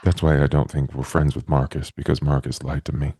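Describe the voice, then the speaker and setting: A man plays a newly born man with a profoundly deep, soft-edged voice and a dry, close sound. He is childlike and literal, putting things in simple, halting, earnest phrases for a tabletop game. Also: flat delivery, slightly rough, no room sound. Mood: innocent, plaintive. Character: naive newborn man, gentle, childlike, literal-minded and puzzled. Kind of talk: in-character tabletop roleplay.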